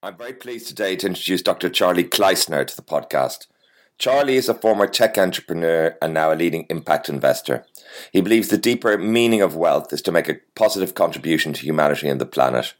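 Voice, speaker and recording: A man's voice: deep voice, animated, fast talker. A man hosting an interview podcast, reading introductions and putting questions to his guest.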